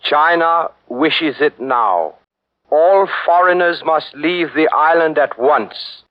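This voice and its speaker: An actor playing a stern young Chinese warlord in a 1940s radio drama, speaking slowly and solemnly. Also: male voice, a little high, animated. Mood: commanding. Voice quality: slow, measured, solemn. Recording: muffled 1940s radio broadcast.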